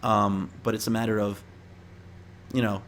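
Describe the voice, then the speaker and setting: A man's voice: lowish voice, flat delivery, normal speed, a bit bright, slight background noise. A young man chatting casually to viewers as he gives tips, in an informal, rambling, slangy way. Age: young adult. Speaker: vlogger giving interview tips. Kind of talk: casual chat to camera.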